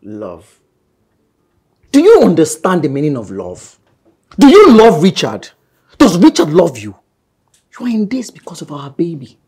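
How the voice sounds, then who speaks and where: A man's voice: on the high side, wildly swooping pitch, normal speed, dark tone, breathy edge, exaggerated voice. A man acting a possessive, menacing lover in a film drama, taunting with rhetorical questions. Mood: scornful, threatening.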